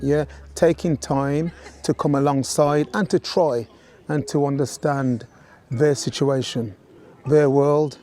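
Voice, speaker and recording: A man giving a reflective interview, speaking in a measured, earnest and somewhat hesitant way. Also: a little high, fairly even intonation, mellow, faint music behind. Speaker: interviewee in a documentary.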